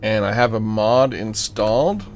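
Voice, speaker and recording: A man's voice: lowish voice, a little slow, soft background music. A man giving casual gameplay commentary, thinking aloud and muttering to himself with playful asides. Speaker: gaming channel host.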